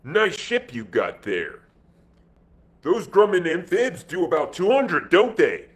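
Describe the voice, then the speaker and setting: A man's voice: sits high, sing-song, slow, pitched above the performer's own voice, heavily acted. A man voicing a big, sly American gangster in an adventure story, slangy and sardonic. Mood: slyly friendly.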